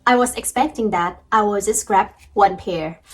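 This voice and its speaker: A woman's voice: mid-range voice, normal speed, faint music behind. Chatty Thai-accented woman teaching. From Thailand. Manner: cheerful, chatty, laughs often. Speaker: language teacher vlogger. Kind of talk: casual video lesson.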